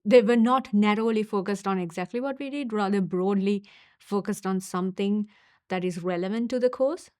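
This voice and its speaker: A young woman from Sri Lanka, a university student giving a casual testimonial, thoughtful and unhurried with small pauses and fillers. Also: medium pitch, darkish tone, no room sound, studio quality.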